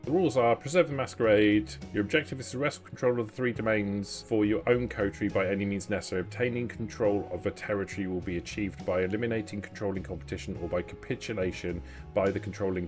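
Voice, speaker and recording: A man acting as game master, explaining rules and lore in a tabletop roleplaying game. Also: fairly low pitch.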